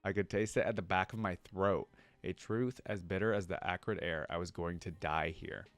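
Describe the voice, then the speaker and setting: A man's voice: low voice, fairly even intonation, normal speed, no echo. A man hosting a reaction video, reading a story aloud and commenting casually as a fan. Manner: informal slangy fan talk.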